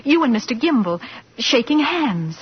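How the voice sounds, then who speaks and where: Animated career woman. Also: fairly high pitch, normal speed. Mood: eager. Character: brisk, eager career woman at a department store. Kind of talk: 1940s radio acting. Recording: muffled, noisy vintage 1940s radio broadcast.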